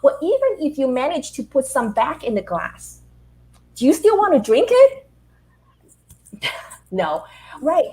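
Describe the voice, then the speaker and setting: A middle-aged woman from Taiwan, a leadership author answering interview questions in an animated, storytelling way with rhetorical questions. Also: high voice, a little slow, pauses often, poor audio.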